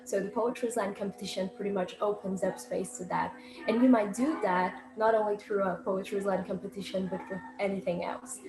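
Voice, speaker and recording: A woman of twenty-one from Brazil with a Brazilian accent, giving an informal presentation in a halting way as she searches for words. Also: medium pitch, fairly even intonation, over music. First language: Portuguese. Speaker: poet and student speaker. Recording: echoey room with loud background noise.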